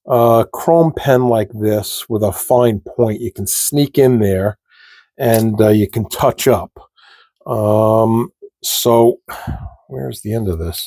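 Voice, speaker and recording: A man with a froggy, allergy-rough throat, slowly talking viewers through a model-building step in a casual, halting way. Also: medium pitch, dark tone, no echo, clean studio sound. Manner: slow, halting, talks to viewers while working. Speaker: scale model hobbyist demonstrating. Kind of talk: casual hands-on tutorial.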